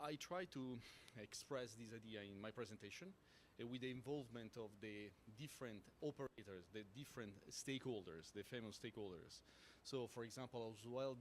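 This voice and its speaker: A man with an Italian accent speaks as a panelist at a conference, his English halting as he hunts for words and leans on fillers. His voice sits at an ordinary pitch, with a faint hiss under the room. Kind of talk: panel discussion answer.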